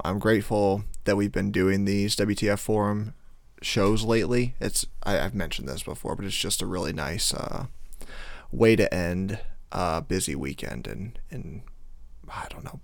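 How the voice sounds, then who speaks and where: A male podcast host with a slightly hoarse voice, talking casually in a rambling way with many fillers. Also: low-pitched, moderate pace, dry recording. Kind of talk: casual solo podcast intro.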